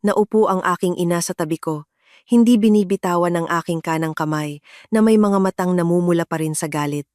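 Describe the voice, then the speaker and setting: A woman's voice: fairly low pitch, a little fast, a little mellow, no room sound, studio quality. A woman reading a scripted, dramatic first-person story as its narrator.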